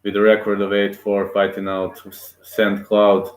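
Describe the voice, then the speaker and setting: Excitable man calling a fight, echoey room. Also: on the low side, a little slow. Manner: excitable, rooting aloud, frequent fillers. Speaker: amateur fight commentator. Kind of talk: live play-by-play commentary.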